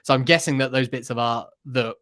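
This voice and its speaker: A man's voice: mid-range voice, brisk, fairly bright tone. A man chatting casually about pop culture as a podcast host, hesitant and thinking aloud as he goes.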